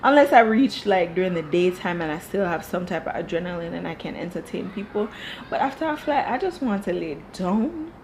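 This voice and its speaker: A woman's voice: fairly low pitch, expressive, fairly quick, darkish tone, slight background noise. A young Jamaican-accented woman, raised speaking Dutch, giving a blunt, chatty TV review full of swearing and tangents. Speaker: TV show reviewer. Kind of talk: casual reaction commentary.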